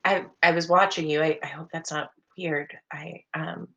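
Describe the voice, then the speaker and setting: A woman with a slightly low voice plays a tabletop game over a video call. She speaks casually and haltingly, slipping into her character's words. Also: steady tone, fairly bright tone, dull audio. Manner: hesitant, halting. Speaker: tabletop role-playing player. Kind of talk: casual in-character role-play over online chat. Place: indoor room on a group video call.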